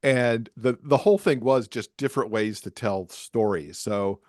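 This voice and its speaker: A man with a bass voice chatting casually as a podcast guest, talking about himself in a self-deprecating way. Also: normal speed, darkish tone, dry recording, studio quality. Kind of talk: casual chat.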